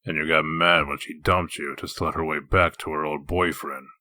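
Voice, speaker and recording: A man voicing a gruff, foul-mouthed wolf detective in human form, blunt and sardonic, in a comic dub. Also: a bass voice, flat delivery, normal speed, pushed and bright, no room sound, studio quality, exaggerated voice.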